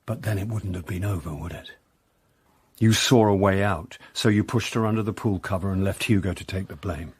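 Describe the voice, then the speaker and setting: A wry British amateur detective in a radio drama, low and husky. He speaks drily and can stammer, recorded close in a dry space. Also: moderate pace, dry recording. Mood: grave. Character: wry, hesitant amateur sleuth narrating his own story. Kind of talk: radio drama dialogue and narration.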